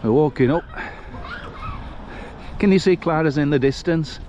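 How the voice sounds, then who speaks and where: A man's voice: a little high, unhurried, dark tone, slight background noise. A man in his sixties with a Northern English accent, chatting in a rambling, folksy way as he vlogs on a walk. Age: 60s. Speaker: vlogger. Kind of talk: casual walking vlog chat. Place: outdoors on a seafront street. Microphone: handheld camera while walking.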